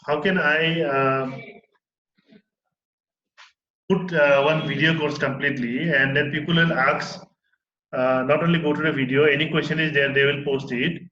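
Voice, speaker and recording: A man's voice: on the high side, steady tone, normal speed, pauses often, bright, forceful tone. A man talking shop as an instructor, hesitant and full of fillers. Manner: hesitant, many fillers and restarts. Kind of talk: casual technical conversation. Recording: roomy, dull lo-fi recording.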